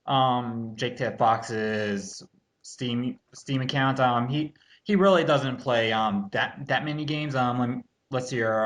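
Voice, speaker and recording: A man hosting a casual rebuttal commentary, speaking in a halting, dismissive and slightly snide way. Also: medium pitch, fairly bright tone.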